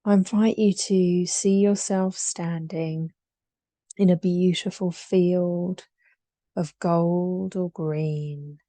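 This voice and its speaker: Very slow, mellow woman guiding meditation. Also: fairly low pitch, fairly even intonation, no echo. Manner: soothing, unhurried, with long pauses between short phrases. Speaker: guided meditation leader.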